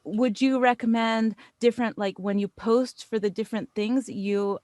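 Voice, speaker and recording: A woman's voice: fairly high pitch, steady tone, moderate pace, a little mellow, no room sound. American woman guest, close dry sound. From United States. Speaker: podcast guest, voice actor. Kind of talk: casual interview chat.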